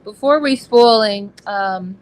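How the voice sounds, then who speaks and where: A woman's voice: medium pitch, slow pace. A woman co-hosting a casual book discussion in a playful, slangy, joking way. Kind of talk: casual book club chat, some synopsis reading.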